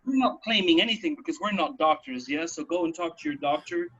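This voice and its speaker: A man's voice: on the high side, sing-song, fairly quick, a bit bright, slightly rough. A man co-hosting a radio show from home in a casual, chatty, explanatory way, often checking in with a "right" or "yeah". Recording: dull, lo-fi home recording.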